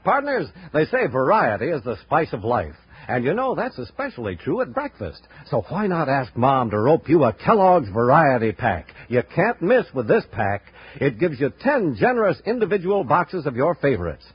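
A man's voice: a little high, sing-song, normal speed, breathy edge. A man announcing in a polished, emphatic 1950s radio style, selling to the audience from a script. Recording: dull old radio broadcast, music behind. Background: music.

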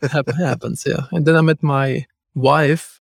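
A man's voice: on the high side, steady tone, vocal fry, slightly rough, no room sound, studio quality. A man with a German accent hosting a podcast, talking in a casual, loose way with frequent restarts and fillers. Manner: fast, loose talk with many restarts and fillers. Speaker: podcast host.

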